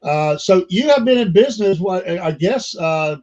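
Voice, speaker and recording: An older man hosts a casual podcast chat, rambling along with plenty of fillers and folksy asides. His voice sits a little high for a man, and the recording sounds dull and lo-fi. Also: expressive, moderate pace, a little mellow. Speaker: podcast host.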